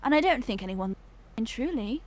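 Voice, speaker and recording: A woman with a fairly high voice chats in a put-on British accent. Her manner is gushing and theatrical, with quaint, formal turns of phrase, as in an informal livestream conversation. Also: animated, normal speed. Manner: effusive, theatrical, old-fashioned formal phrasing. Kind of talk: casual livestream chat.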